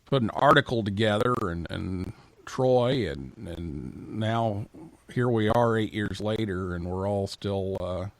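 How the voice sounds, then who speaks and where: A man's voice: on the low side, slow pace, creaky, no echo. A man hosting a live call-in radio show in a casual, conversational style.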